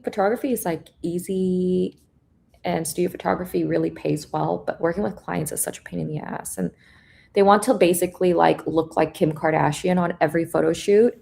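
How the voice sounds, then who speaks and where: Blunt gravelly-voiced woman, Korean native speaker. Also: fairly low pitch, darkish tone. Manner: blunt, profane, filler-heavy, laughs often. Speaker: guest trader. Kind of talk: casual chat.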